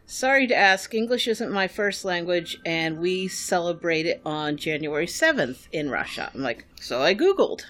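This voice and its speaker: A speaker in casual podcast group chat, telling stories in a relaxed way with quick asides. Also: medium pitch.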